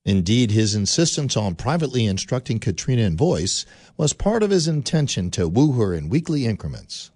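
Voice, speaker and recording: A man narrates a radio play with measured, storytelling delivery and a lively rise and fall in his voice. The sound is dry and close, with no room around it. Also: medium pitch, no room sound.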